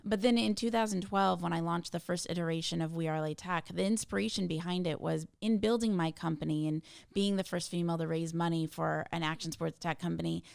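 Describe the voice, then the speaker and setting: A woman speaks with a low, gravelly voice that still sounds smooth and resonant. Her answers are earnest and emphatic, the talk of a passionate interview guest. The sound is dry and close. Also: steady tone, quick pace, few pauses, a little mellow, no room sound. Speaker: tech community founder, podcast guest. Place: hotel suite.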